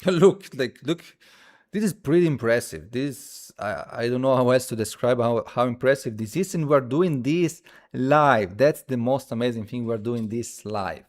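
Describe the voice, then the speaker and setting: A man who speaks as a hosts a casual live coding stream, thinking aloud haltingly and repeating his phrases as he demonstrates. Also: medium pitch, lively intonation. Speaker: livestream host.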